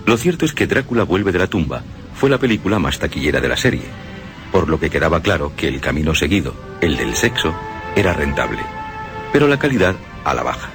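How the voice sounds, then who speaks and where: A man's voice: a bass voice, very animated, a little fast, over music. A man giving scripted radio commentary on film history. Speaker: radio commentator on horror films.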